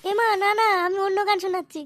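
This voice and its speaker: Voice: very high voice, level tone, rapid-fire, heavy background noise. A performer voicing a meek, dotty woman singer in an adult comedy cartoon, coy and hesitant.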